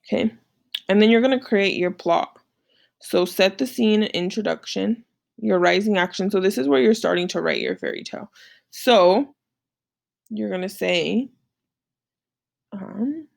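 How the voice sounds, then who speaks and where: Lady teacher explaining classwork, chatty, lots of vocal fry, keeps checking in. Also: medium pitch, measured pace, long pauses. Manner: conversational, checks in often with short tag questions. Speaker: teacher explaining an assignment to students. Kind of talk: informal screen-share lesson, walking through slides.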